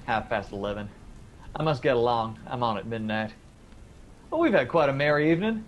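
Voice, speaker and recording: An actor playing a cheeky, teasing, sceptical young grown son in a radio drama. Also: male voice, mid-range voice, animated, brisk, close to an everyday voice. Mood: playful, breezy. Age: young adult. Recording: faint background noise and ambience.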